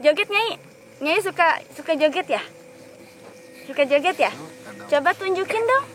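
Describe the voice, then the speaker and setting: A woman hosting an on-location paranormal video, gently coaxing and questioning a possessed volunteer in an outdoor field. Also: very high-pitched, lively intonation, moderate pace, a little hiss or hum. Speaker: host of paranormal channel. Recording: loud music behind, outdoor field. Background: loud music.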